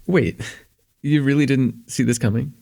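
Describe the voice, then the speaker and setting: A man playing a shy, smitten young student in an intimate ASMR roleplay, with an earnest, hesitant delivery and a husky, slightly gravelly voice. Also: mid-range voice. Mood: bashful confession. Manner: hesitant, earnest, stammering, chuckling softly between phrases.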